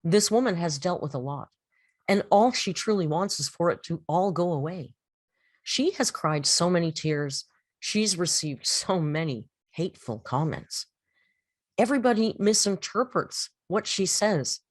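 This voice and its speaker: Female reader for dramatic poetry and critique; grandiose, unhurried, very expressive intonation. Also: lowish voice, no echo. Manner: theatrical, deliberate, grandiose delivery. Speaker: host reading and critiquing a poem. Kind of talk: dramatic poetry reading and literary commentary. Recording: music under the voice. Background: background music.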